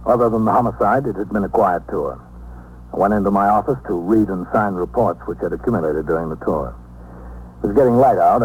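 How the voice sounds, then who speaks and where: An American actor playing a composed police captain in a 1950s radio drama, narrating in a clipped, official, procedural manner. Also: male voice, low voice, a little mellow, lightly acted. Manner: matter-of-fact, procedural. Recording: noisy old 1950s radio recording.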